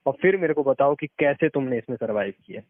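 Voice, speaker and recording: A man's voice: mid-range voice, animated, quick pace, dry recording. A man giving casual, emphatic advice on a phone call, explaining and repeating his points for stress. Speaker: experienced former network marketer giving advice. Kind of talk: casual advisory phone conversation. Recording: muffled narrowband phone call recording.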